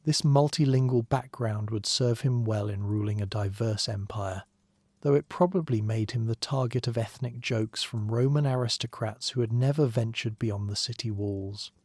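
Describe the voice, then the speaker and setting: A man narrating a documentary in a gentle, even, scripted way, with dry asides. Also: mid-range voice, moderate pace, no room sound. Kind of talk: calm scripted sleep narration.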